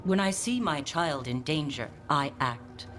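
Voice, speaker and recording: A woman's voice: deep voice, lively intonation, a little slow, a bit bright. An actress playing a stern, domineering middle-aged mother in a video game, speaking in a stiff, formal and emotionless way. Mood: stiff, unemotional. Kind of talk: video game cutscene acting. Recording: game audio with faint music behind.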